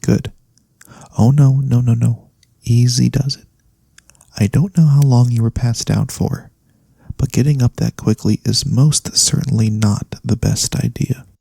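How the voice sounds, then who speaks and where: A man playing a gentle, caring elf cleric in a soft-spoken ASMR fantasy roleplay, soothing and attentive, with slightly formal phrasing. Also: mid-range voice, fairly even intonation, measured pace, gravelly, breathy edge, no room sound. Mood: concerned, soothing. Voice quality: soft-spoken, breath-light delivery. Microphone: close studio USB condenser microphone.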